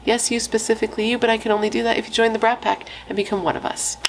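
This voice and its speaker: A woman's voice: a little high, level tone, very fast, heavy background noise. Playful, chatty woman telling true crime. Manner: playful, swears casually, dramatic asides. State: pregnant.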